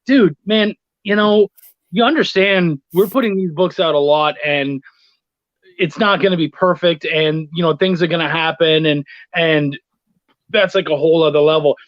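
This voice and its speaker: A man's voice: on the high side, moderate pace, no echo. A man chatting casually as an interview guest, telling anecdotes and voicing the exchanges in them, on muffled lo-fi call audio. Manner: anecdotal, acting out quoted exchanges. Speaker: guest, freelance comics writer and editor.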